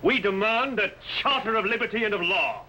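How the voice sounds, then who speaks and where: Casting: defiant medieval archbishop, formal declamation, bright ringing fairly high voice, old TV costume drama. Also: male voice, expressive, fairly quick, exaggerated voice. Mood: firm, solemn. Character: bold, righteous medieval archbishop defying a tyrant. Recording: noisy 1950s television soundtrack.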